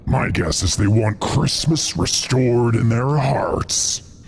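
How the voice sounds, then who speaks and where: A man's voice: mid-range voice, lively intonation, takes it slowly, creaky, slight background noise. A man playing a bossy, sinister wolf chief in a dramatized fantasy story, speaking in a grave, commanding way. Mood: grave, brooding.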